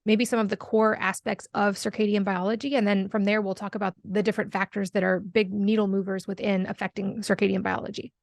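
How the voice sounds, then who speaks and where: A woman hosts a podcast interview with easy, fluent questions. Her mid-pitched voice carries a noticeable vocal fry, and it is recorded dry and close with no room echo. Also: level tone, brisk, few pauses. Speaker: podcast host.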